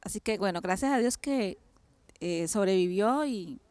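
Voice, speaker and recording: Colombian woman, smooth voice, hesitant testimony. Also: mid-range voice, expressive, a little slow, a little mellow, dry recording. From Cali, Colombia. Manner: hesitant, many fillers and restarts, devout. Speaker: guest. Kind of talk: personal testimony in an interview.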